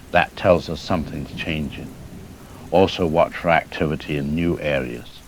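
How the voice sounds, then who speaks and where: A man playing a measured, cautious geologist explaining things as an expert guest in an audio drama interview. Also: very deep voice, a little hiss or hum, poor audio.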